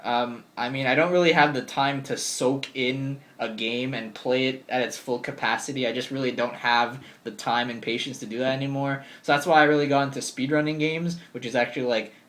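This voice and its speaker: A man's voice: mid-range voice, steady tone, a little fast, few pauses, a bit bright. Man, 20, channel host; easygoing chatty Q&A delivery, filler-heavy, mid-range voice. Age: 20s. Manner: chatty, upbeat, lots of ums and likes. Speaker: channel host answering viewer questions. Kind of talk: casual Q&A vlog. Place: bedroom with game shelves.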